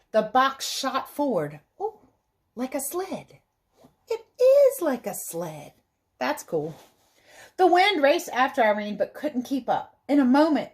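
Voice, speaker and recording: Expressive higher-voiced woman reading to children. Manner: animated, child-directed, dramatizes the story with teacherly commentary. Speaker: teacher reading a picture book aloud to children. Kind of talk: expressive storybook read-aloud with explanatory asides.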